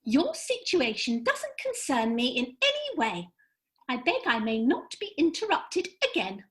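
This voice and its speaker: Woman as prim, snippy young fairy for comic stage play, very high voice, unhurried. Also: very animated, fairly bright tone, exaggerated voice. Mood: haughty, dismissive. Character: haughty, easily annoyed young female good fairy.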